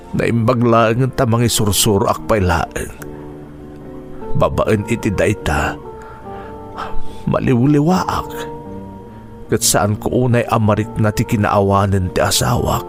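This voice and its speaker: A male actor playing a lonely, grieving widowed teacher, gentle and resigned, in an Ilocano radio drama with a slow, reflective delivery. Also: fairly low pitch.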